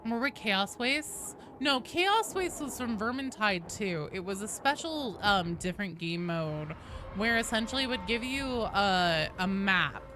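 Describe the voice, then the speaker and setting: A woman's voice: mid-range voice, lively intonation, measured pace, noisy background. A woman doing casual live game commentary as a streamer, chatty, exclamatory and freely swearing. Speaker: game livestreamer.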